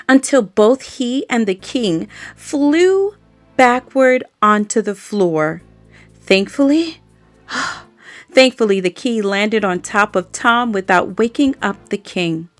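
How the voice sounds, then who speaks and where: A woman telling a children's bedtime story aloud in an expressive way, adding playful sound effects. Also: medium pitch, dry recording.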